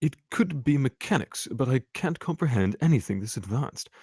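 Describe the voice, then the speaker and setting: A man's voice: mid-range voice, lively intonation, normal speed, dark tone, husky. A man playing a wry, impatient London physician in a screenplay table read, sardonic, theatrical and mock-polite. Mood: clinical, intrigued.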